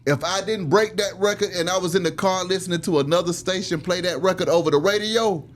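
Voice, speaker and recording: A man hosting a casual conversational interview, animated and slangy, chiming in with encouragement. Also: sits high, a little fast. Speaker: interviewer, podcast host.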